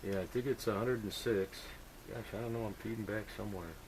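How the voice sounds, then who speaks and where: A low-pitched male voice talks over two-way radio with clear noise around it. He is an amateur operator in casual technical conversation, musing and working things out aloud. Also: fairly even intonation, a little fast.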